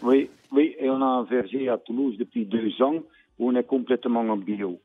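A man's voice: medium pitch, fairly even intonation. Older Dutch-accented man on a tinny line. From Netherlands. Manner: plain-spoken, emphatic, pauses with fillers. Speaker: fruit farmer. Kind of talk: radio interview. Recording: tinny phone-like radio line.

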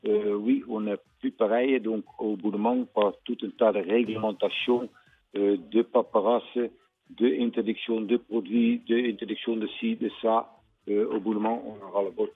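An older man farmer from the Netherlands with a Dutch accent, speaking plainly and emphatically in a radio interview, pausing often with fillers. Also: on the low side, level tone, slightly rough, no room sound. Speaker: fruit farmer. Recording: tinny phone-like radio line.

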